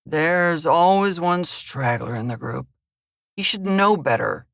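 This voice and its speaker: A woman voicing a gruff middle-aged male boat captain who speaks in curt, impatient bursts. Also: on the low side, animated, slow pace, no echo, clean studio sound, heavily acted.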